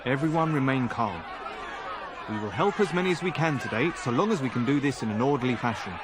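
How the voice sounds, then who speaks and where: An actor plays a condescending mage official keeping order in a fantasy game. His delivery is formal and officious, and a loud crowd and heavy noise surround him. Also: medium pitch, lively intonation, fast, lightly acted. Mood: officious, stern. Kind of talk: video game voice acting.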